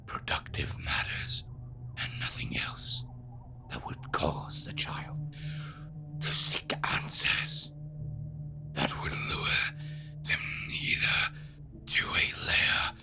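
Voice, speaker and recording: A man playing an ancient, sinister, scornful creature in a fantasy audio drama, with a whispery growling rasp and slow, theatrical formal speech. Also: fairly high pitch, very animated, loud music behind the voice, exaggerated voice. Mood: brooding.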